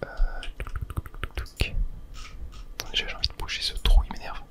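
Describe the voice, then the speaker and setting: A person whispering in a breathy ASMR style while commentating on a video game, rambling and correcting themselves with little mouth-sound fillers. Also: moderate pace. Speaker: gaming video host.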